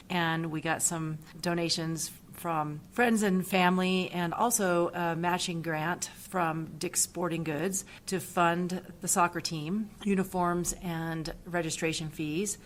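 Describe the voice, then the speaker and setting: A woman's voice: lowish voice, slight background noise. A middle-aged woman who works at a school, speaking informally in an interview as a soccer team organizer.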